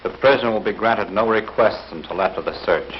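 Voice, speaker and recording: An actor playing a stern, cold secret police interrogator giving curt orders in a 1940s training film. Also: male voice, on the low side, brisk, natural voice. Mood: cold, commanding. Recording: muffled, hissy 1940s film soundtrack.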